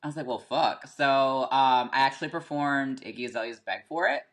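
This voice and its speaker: A man's voice: mid-range voice, moderate pace, a bit bright. Chatty man, lots of fillers. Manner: chatty, filler-heavy, frequent "like" and "um". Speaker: podcast guest, rapper. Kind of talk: casual interview storytelling.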